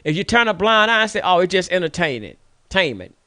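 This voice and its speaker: A man's voice: high voice, big pitch swings, fast talker, bright, forceful tone, dry recording. Fired-up preachy man, dry sound. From United States. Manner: preachy, scolding, repetitive emphasis. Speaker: radio show host. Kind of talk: impassioned monologue.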